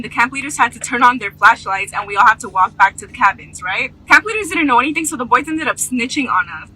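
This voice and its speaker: A woman's voice: a little high, bright, forceful tone, breathy edge, rough recording. A young woman telling a casual story in a slangy, emphatic, conversational way. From United States.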